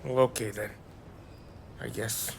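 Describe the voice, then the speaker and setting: An actor playing a crude, boisterous, loose-tongued American gangster buddy in a video game cutscene. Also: male voice, on the low side, fairly even intonation, unhurried, vocal fry, very noisy. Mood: drunk, muddled. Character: rowdy drunk wiseguy buddy, swaggering storyteller. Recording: loud music behind the voice.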